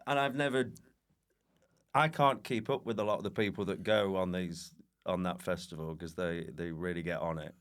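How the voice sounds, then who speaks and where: A man's voice: deep voice, fairly even intonation, normal speed. A man, a comedian guest, chatting casually and telling rambling, self-deprecating anecdotes.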